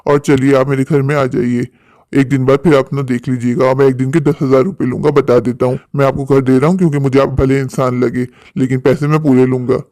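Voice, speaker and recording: A man's voice: medium pitch, flat delivery, fast, dark tone, no echo, studio quality, pitched below the performer's own voice, close to an everyday voice. A man playing a mercenary local landlord in a cartoon story, matter-of-fact and cautioning. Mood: stern warning.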